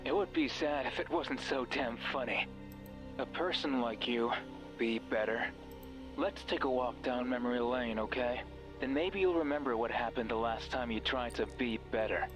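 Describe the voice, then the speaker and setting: A man's voice: mid-range voice, moderate pace, over music, close to an everyday voice, rough recording. An actor playing a mysterious, controlling male voice in a horror game, taunting, sardonic and condescending. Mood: mocking, cruel. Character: mocking, cruel, controlling mysterious male voice on a radio.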